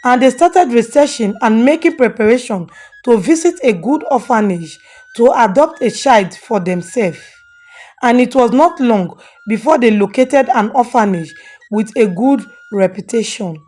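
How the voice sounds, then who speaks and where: A woman's voice: on the high side, expressive, measured pace, warm tone. A woman storyteller giving a scripted narration of a folktale. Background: faint music.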